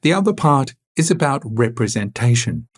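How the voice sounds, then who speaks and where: A male reporter giving steady, scripted news narration. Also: mid-range voice, moderate pace.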